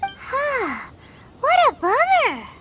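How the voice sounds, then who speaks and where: Tiny cheeky fairy sidekick: extremely high, swooping childlike voice, blunt, video game. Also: female voice, huge pitch swings, drawn-out words, background noise, heavily acted. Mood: curious. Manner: blunt, teasing. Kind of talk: video game character acting.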